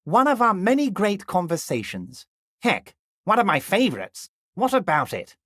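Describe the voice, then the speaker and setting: Scheming union boss, game character, smooth folksy charm, lively swooping pitch, dry close sound. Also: male voice, normal speed, no room sound, exaggerated voice. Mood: genial, scheming. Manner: drops to a deep, confiding murmur on names. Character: scheming jovial middle-aged male union boss. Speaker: union leader. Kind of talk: video game voice acting.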